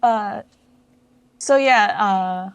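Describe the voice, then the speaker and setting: A young woman gives an informal, chatty interview as a guest. Her voice sits at a middling pitch, and she talks in a self-deprecating, conversational way. The sound is dry, with very little room on it. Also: very slow, clear, resonant tone, no echo. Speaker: interview guest, project founder and voice actress. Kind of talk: casual interview chat.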